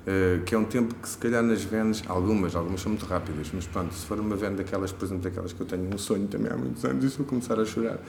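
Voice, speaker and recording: A man with a very low voice asks long, rambling questions, often repeating himself as he searches for words. The room around him is echoey. A faint background noise runs under his voice. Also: rapid-fire, creaky, hardly any pauses, darkish tone. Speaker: interviewer, host. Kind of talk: casual interview questions.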